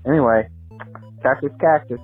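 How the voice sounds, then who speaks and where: A man's voice: mid-range voice, normal speed, breathy edge, faint music behind. A man from Texas leaving a mild, curious voicemail question for a call-in show. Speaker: caller. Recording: tinny phone-line voicemail.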